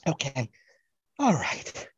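Husky, flamboyant, swooping scatterbrained psychic. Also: mid-range voice, huge pitch swings, dry recording, muffled recording, exaggerated voice. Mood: indulgent, chatty. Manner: rambling, theatrical, repeats phrases, drawn-out dramatic words. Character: flamboyant, scatterbrained celebrity psychic, gushing and easily distracted. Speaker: podcast guest playing a psychic. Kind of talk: improvised comic audio play, podcast spoof.

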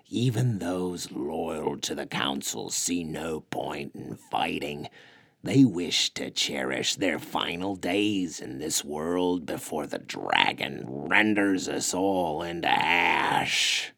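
A man's voice: very deep voice, lively intonation, slow, gravelly, a bit bright, dry recording, studio quality, full character voice. A man voicing a curly-haired Bigfoot elder who speaks sadly and with resignation. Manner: sad, resigned.

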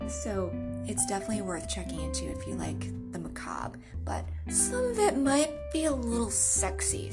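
A woman hosting a story podcast, chatting informally and narrating with theatrical delivery. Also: on the low side. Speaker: podcast host and reader.

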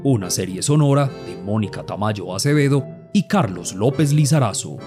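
Un hombre que anuncia una serie de radio con un guion. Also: male voice, fairly low pitch, lively intonation, normal speed. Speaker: credits announcer. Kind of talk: scripted series announcement. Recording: long reverb over guitar music.

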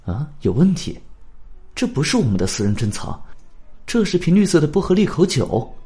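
A man's voice: fairly high pitch, sing-song, moderate pace, mellow, voice pushed up. A man playing a weary, nervous middle-aged club member in a radio drama, speaking uneasily. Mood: uneasy.

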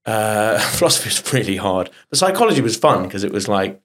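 A middle-aged man, a stage performer, telling animated stories in a casual interview, often restarting his sentences. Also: on the low side. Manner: animated anecdotes with quick restarts. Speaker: guest performer.

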